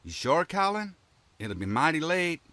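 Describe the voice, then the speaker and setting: A man's voice: a little high, very animated, normal speed, bright, forceful tone, no room sound. A man playing a tired country farmer and devoted father with a rural American accent and a folksy drawl, in an audio drama. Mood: resolute, gentle.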